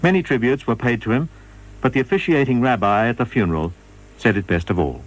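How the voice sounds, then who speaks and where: A man's voice: mid-range voice, lively intonation, brisk, dull audio. A man reading scripted narration for a radio documentary.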